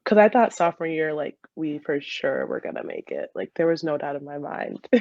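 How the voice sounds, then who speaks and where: A woman's voice: low-pitched, moderate pace, no echo. Young American woman, slightly muffled audio. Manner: giggly, informal, animated retelling with quoted asides. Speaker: interview guest, former college soccer player. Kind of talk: casual conversational interview.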